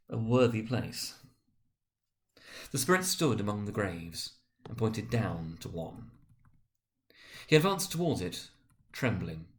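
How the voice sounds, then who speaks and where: A man narrating a classic story as a dramatic reading, in a theatrical, expressive manner. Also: lowish voice.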